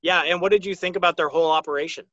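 An American man hosts a sports interview podcast in a very bright, forward voice that sits a little high. The sound is dry and close, though slightly dull in fidelity. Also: fairly even intonation, rapid-fire, no echo. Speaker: podcast host.

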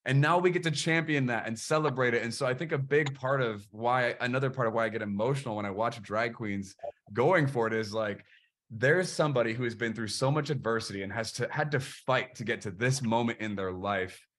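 A man's voice: medium pitch, fairly quick, a bit bright. A man hosting a podcast interview, speaking earnestly and with passion, using preacher-like phrasing. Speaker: podcast host. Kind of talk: casual interview chat.

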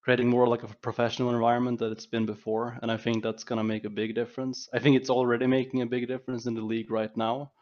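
A man's voice: medium pitch, flat delivery, quick pace, few pauses, a little mellow, dull audio. A man explaining things casually as an esports director on a panel.

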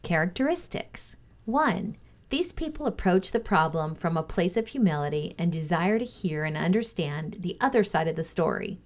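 A woman reading a motivational lesson aloud as a co-host, in a measured, instructive way. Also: fairly low pitch, expressive.

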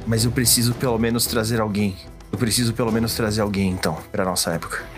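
A man playing a desperate, insistent traveler from the past in a tabletop roleplay audio drama, pleading and deliberate. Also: lowish voice, fairly even intonation, faint music behind, natural voice.

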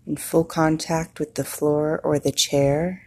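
Low, husky woman guiding a slow meditation. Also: level tone, warm tone. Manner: slow, soothing, with long pauses. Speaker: meditation guide. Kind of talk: guided meditation.

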